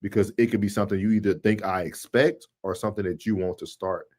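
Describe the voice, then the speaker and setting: A man with a lowish voice, recorded dry with no room sound, talks casually as a stream co-host. He is opinionated and emphatic, often saying a point again to make it land. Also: fairly even intonation, quick pace, a little mellow, no echo.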